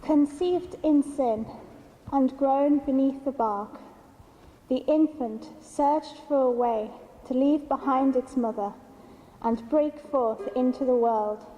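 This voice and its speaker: A woman giving a measured literary reading of verse, phrased deliberately in short units. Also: high voice, warm tone. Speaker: translator reading their own translation. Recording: long hall reverb with music.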